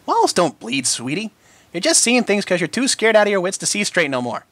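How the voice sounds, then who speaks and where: Here is a man doing an eager little farm-girl pony from a storybook. The voice is very high and squeaky and swoops all over the place. It sounds dry and close. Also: a little fast, no echo, exaggerated voice. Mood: scoffing. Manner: folksy, excitable, high squeaky. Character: young female pony, Southern farm filly, eager.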